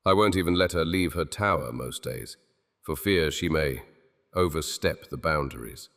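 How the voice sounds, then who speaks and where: A man's voice: rumbling low, moderate pace, fairly bright tone. A man voicing a guilt-ridden male king who stammers and pleads, in an audio drama with long hall reverb. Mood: anguished.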